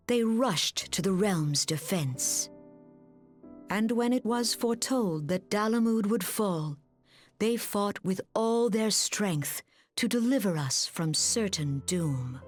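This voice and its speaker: A serene, grave mystic leader from a fantasy game. She speaks very slowly and formally in measured, archaic phrases, with music playing behind her. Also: medium pitch, lively intonation. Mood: solemn reverence. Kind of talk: scripted fantasy game cutscene acting.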